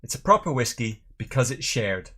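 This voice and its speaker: A middle-aged man hosts a casual livestream. He talks in an easygoing, chatty way with a medium-pitched voice, moving between viewer comments and light jokes. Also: sing-song, moderate pace, a bit bright. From Northern Ireland and England. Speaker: host of a live whisky tasting show.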